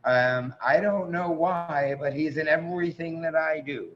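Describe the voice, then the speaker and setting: A man hosting a reading on a video call, introducing performers informally in an effusive, emphatic, halting way. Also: on the high side. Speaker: host, director and acting teacher. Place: remote host on video call. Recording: muffled, noisy video call.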